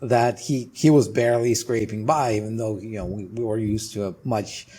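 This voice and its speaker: A man in his forties with a Russian accent speaks with a husky, slightly breathy voice. He tells his story in a relaxed podcast chat, circling back with restarts and fillers as he goes. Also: lowish voice, moderate pace. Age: 40s. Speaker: guest, trademark attorney. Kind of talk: casual podcast interview.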